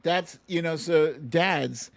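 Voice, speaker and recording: A man's voice: fairly high pitch, slow, gravelly. A man hosting an online live show, chatting casually and playfully, straight to his viewers. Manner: playful, informal, addresses viewers directly.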